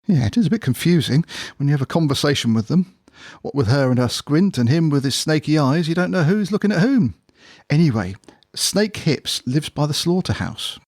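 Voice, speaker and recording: A man playing a matter-of-fact, comically earnest pub friend in an audio drama, bantering in a deadpan, incredulous way. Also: on the high side, moderate pace, no echo, clean studio sound. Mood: earnest storytelling. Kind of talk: audio drama comedy dialogue.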